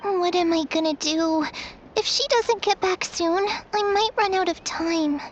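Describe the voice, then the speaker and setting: A woman's voice: extremely high pitch, a little slow, slight background noise. A woman voicing an earnest, fretful young girl in a video game. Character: young girl, anxious and wistful about leaving. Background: game music.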